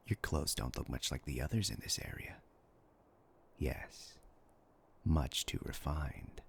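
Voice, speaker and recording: Very deep, gravelly, menacing demon. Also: male voice, a little hiss or hum, full character voice. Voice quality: gravelly, husky, breathy with whispered edges. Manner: slow, menacing, mock-polite, savouring pauses. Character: sinister male demon possessing a human body, hungry and taunting. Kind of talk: dark fantasy roleplay voice acting.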